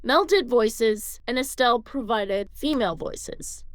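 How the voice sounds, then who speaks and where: A woman's voice: a little high, expressive, measured pace, no echo. A woman reading scripted documentary narration as the narrator.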